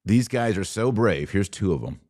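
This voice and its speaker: A man hosting a podcast, giving solo commentary on the news in an earnest, emphatic way with short, deliberate phrases. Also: fairly low pitch, very animated, a little mellow.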